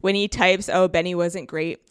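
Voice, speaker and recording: A woman's voice: on the low side, fairly even intonation, moderate pace, clean tone, no echo, clean studio sound. A woman in her thirties chatting casually as a podcast guest, animatedly acting out the lines she quotes. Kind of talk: casual chat.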